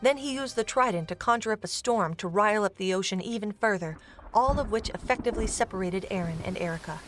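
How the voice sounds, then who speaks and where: A woman's voice: mid-range voice, fast. A woman narrating a scripted dramatic story as the narrator of an audio drama. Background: music.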